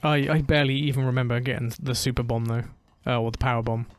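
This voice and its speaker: A man giving casual gameplay commentary as a let's play host, with a relaxed, quick, slightly mumbly way of talking. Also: mid-range voice.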